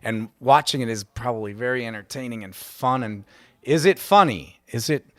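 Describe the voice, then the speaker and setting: A man's voice: mid-range voice, lively intonation, moderate pace, no echo, clean studio sound. A man co-hosting a podcast, asking questions in a casual interviewing style.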